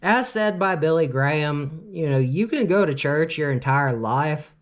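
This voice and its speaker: A man's voice: a little high, animated, a little slow. A man in his late twenties from Cleveland, telling a casual storytime vlog in a rambling, earnest way, with constant filler words. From Cleveland, Ohio, US. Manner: earnest, opinionated. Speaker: vlogger.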